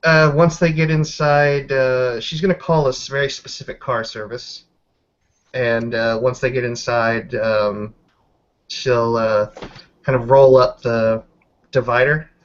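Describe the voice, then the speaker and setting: A man improvising narration for a tabletop roleplaying game, halting as he thinks aloud. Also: mid-range voice, fairly even intonation, muffled recording.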